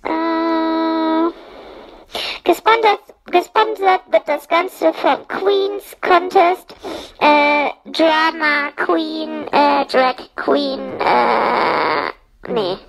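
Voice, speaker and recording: A woman's voice: very high-pitched, drawn-out words, creaky, rough recording. A woman rambling through a casual comic monologue as a storyteller, slangy and sweary, joking and mimicking people as she goes. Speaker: audio-play storyteller in a puppet's persona.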